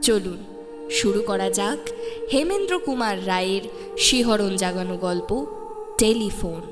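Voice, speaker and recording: A woman's voice: mid-range voice, animated, a little slow. Reverberant woman presenter over music. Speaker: presenter introducing the story. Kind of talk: scripted dramatic introduction.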